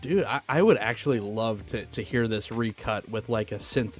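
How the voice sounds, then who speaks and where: A man's voice: on the low side, normal speed, faint music behind. A man co-hosting a film podcast, talking casually in an informal, slangy way.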